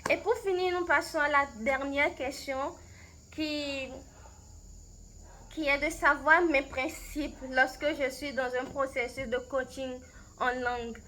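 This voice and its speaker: Slow, thoughtful Beninese French woman. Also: high voice, steady tone, bright, forceful tone, slight background noise, low-quality recording. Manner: thoughtful, unhurried, with frequent hesitations and restarts. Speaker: language coach. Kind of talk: answering interview questions to camera.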